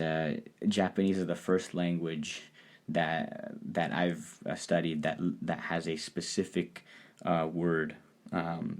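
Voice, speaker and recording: A man hosting a solo podcast, talking through a topic in a measured, explanatory way. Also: rumbling low, darkish tone. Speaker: podcast host. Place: lives in Japan.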